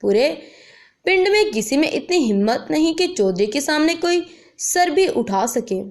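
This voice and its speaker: A woman's voice: high-pitched, very animated, unhurried, a little mellow, pitched above the performer's own voice. A woman storyteller voicing a proud, blunt, outspoken young village bride-to-be. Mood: vengeful resolve.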